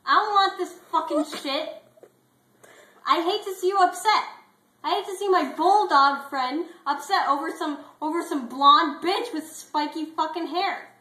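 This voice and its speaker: A big, fiercely loyal storybook dog played by a woman, with a high, forceful voice. He is insistent and profane, like a drinking buddy, recorded in an echoey room. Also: unhurried, exaggerated voice. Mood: fired-up, consoling.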